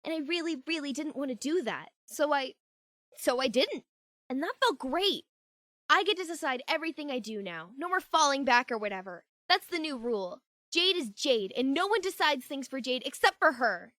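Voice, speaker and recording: A woman's voice: high-pitched, very animated, normal speed, pushed and bright, no echo, heavily acted. A woman acting an earnest, assertive young woman in a fan fiction audio drama, speaking conversationally and emphatically. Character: earnest, newly assertive young woman, fed up but friendly.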